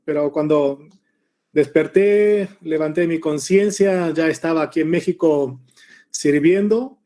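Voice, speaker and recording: Soft-toned man, mixed Argentine-Mexican Spanish. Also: a little high, measured pace. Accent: mix of Argentine and Mexican Spanish. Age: 40s. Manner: relaxed, chatty, with frequent hesitations. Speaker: missionary Bible teacher. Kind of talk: Bible study lesson over a video call.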